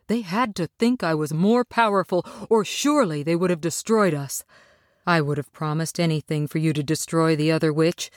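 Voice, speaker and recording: A woman's voice: lowish voice, dry recording, studio quality, lightly acted. A woman voicing a kindly, sheepish little old man, a fraudulent storybook wizard who speaks apologetically. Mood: sheepish, sorrowful. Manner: stuttering, sorrowful.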